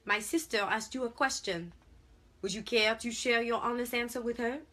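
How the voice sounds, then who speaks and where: Formal young brother with French accent female narrator performing, stern, polite and precise, bright forceful tone for a story. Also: fairly high pitch, normal speed, voice pushed up. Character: formal, indignant young brother with courtly manners.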